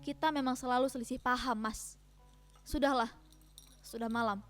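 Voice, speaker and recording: A high-pitched, wounded wife in a failing marriage, played by a woman. Her delivery is theatrical, emotional and reproachful, as in a staged dramatic reading, with faint music behind. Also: steady tone, moderate pace, natural voice. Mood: wounded, resolute. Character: hurt, proud village wife in a failing marriage.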